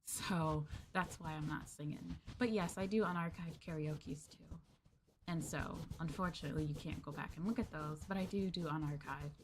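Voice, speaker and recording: Woman, streamer, casual chat, lowish voice, faint background hiss, chatty, addresses viewers as Masters. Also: normal speed. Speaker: VTuber streamer.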